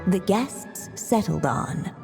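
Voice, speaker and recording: A woman narrating a fairy tale in a measured storytelling manner, as a game's narrator. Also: on the low side, expressive, vocal fry, over music, exaggerated voice.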